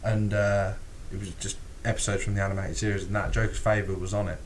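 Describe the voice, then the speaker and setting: A man's voice: lowish voice, quick pace, a little hiss or hum. A British man co-hosting a casual podcast, swearing freely, laughing often and acting out lines. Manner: animated, sweary, laughs often, acts out quotes. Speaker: podcast co-host.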